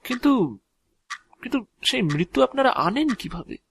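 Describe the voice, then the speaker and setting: Man acting a nervous, husky, halting everyman. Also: very high-pitched, big pitch swings, a bit bright, no echo, heavily acted. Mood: questioning, uneasy. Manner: halting, stammering, repeats words. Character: ordinary man facing death, nervous, bewildered, inquisitive. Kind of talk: Bengali audio drama acting.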